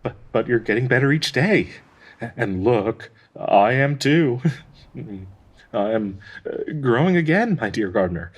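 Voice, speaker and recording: A man playing a gentle, devoted dryad tree spirit in an intimate audio roleplay, anxiously hopeful, halting and stammering tenderly with nervous soft laughs in a breathy voice. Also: medium pitch, animated. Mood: hopeful, encouraging.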